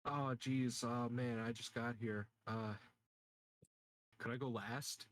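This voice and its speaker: Male player, medium pitch, casual noncommittal joker, dry sound. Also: fairly even intonation, takes it slowly, no echo. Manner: offhand, noncommittal, joking. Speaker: player in a tabletop game. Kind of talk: casual joking chat. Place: online voice call.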